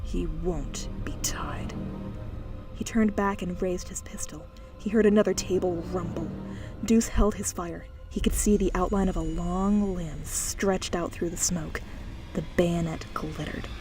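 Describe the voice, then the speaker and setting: A woman's voice: on the low side, steady tone, moderate pace, music in the background. A woman reading an audiobook, narrating scripted fiction in a dramatic style.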